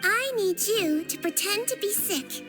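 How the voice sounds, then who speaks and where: A woman voicing a small, gentle creature nurse who talks softly and teases kindly. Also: very high voice, animated, measured pace. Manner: soft, sweet, gently playful. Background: game music.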